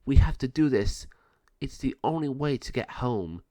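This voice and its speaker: A man's voice: medium pitch, lively intonation, normal speed, warm tone, dry recording, lightly acted. A man voicing a loyal, brave male bird in a storybook tale, close to his own voice. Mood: resolute.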